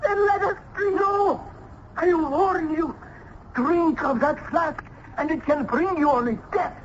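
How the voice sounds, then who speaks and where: A fanatical scientist father who boasts like a god, declaiming at a slow, weighty pace. He is an older radio-drama villain with grand, theatrical delivery. The old broadcast sound is dull, with some background noise. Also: very high-pitched. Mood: alarmed warning.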